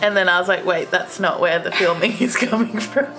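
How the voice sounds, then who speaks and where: A woman's voice: lowish voice, fairly quick, very noisy. A young adult woman, chatting casually and playfully among friends. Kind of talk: casual chat, playful interjections.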